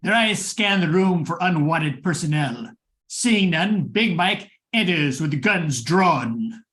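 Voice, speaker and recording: A man's voice: fairly high pitch, animated, takes it slowly, a bit bright. A man reading scripted narration for a radio drama, setting the scene.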